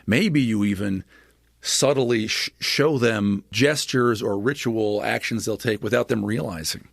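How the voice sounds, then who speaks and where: A man's voice: lowish voice, unhurried, vocal fry, no echo, studio quality. A man on a podcast, explaining scripture eagerly and often checking in with "right?" as he builds his argument. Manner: enthusiastic, lecturing with many asides and "right?" tags. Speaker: podcast guest, scripture scholar.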